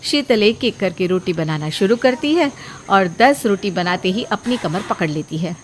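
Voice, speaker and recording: A woman's voice: mid-range voice, expressive, normal speed. Woman narrating a story, faint noise behind.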